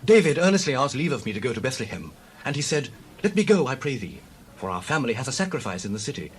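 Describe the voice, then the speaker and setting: An actor playing a loyal, earnest prince in a Bible audio drama, speaking in solemn, emphatic, archaic oratory. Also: male voice, medium pitch, very animated, fast, a little hiss or hum, lightly acted, rough recording. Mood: careful. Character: loyal, earnest prince, devoted sworn friend.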